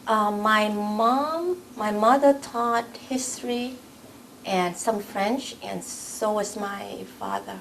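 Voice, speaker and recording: Creaky-voiced Vietnamese-accented woman. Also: medium pitch, very animated, slow, fairly bright tone, slight background noise. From South Vietnam. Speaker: oral history interviewee. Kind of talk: recounting personal memories in an interview.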